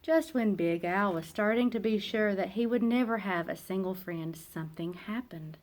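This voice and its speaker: A woman narrating a children's picture book aloud in an expressive, dramatic way, with emphatic pauses and hushed phrase endings. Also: medium pitch. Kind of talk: expressive storybook read-aloud.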